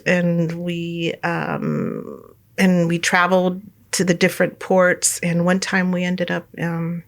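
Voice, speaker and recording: A middle-aged woman from California, a guest telling her life story in a relaxed interview, rambling with many fillers and restarts and laughing often. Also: fairly low pitch, creaky. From United States, California.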